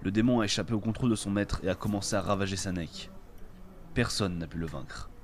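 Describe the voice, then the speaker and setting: A man's voice: fairly low pitch, steady tone, fast, natural voice. A man playing a bold, self-assured adventurer in a fantasy audio drama, speaking assertively. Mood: grave storytelling. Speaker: voice actor in an amateur audio fiction. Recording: clear background noise and ambience.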